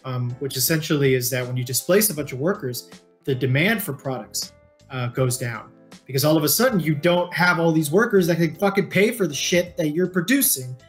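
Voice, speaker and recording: A man's voice: a little high, expressive, fairly quick, faint music behind. A man in his thirties co-hosting a discussion, explaining things casually and informally with free use of swearing, recorded on a headset in a room. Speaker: co-host of a read-along book discussion show. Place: indoor room, remote video call.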